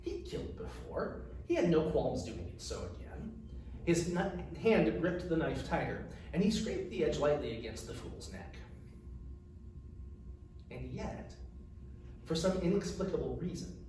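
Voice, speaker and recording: A man's voice: fairly high pitch, big pitch swings, unhurried, long pauses, mellow. Older man, very echoey, reading aloud. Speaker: author. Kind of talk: author's reading of a fantasy novel, with an informal introduction. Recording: distant microphone, very echoey room, faint noise.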